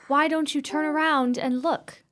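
A woman's voice: high-pitched, fairly even intonation, a little slow, a bit bright. A woman acting an anxious, easily alarmed sister in a radio play, full of urgent questions.

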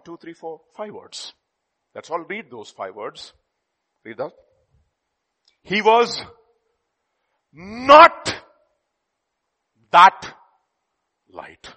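A man preaching a sermon, emphatic, jumping between loud declarations and hushed asides. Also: very high voice. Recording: long hall reverb.

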